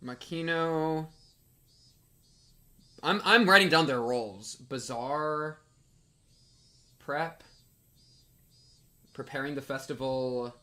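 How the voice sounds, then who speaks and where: A man hosting a live game playthrough, excitable and given to repeating his phrases, bantering with chat and reading game text aloud. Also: fairly high pitch, slow, long pauses. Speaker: livestream host.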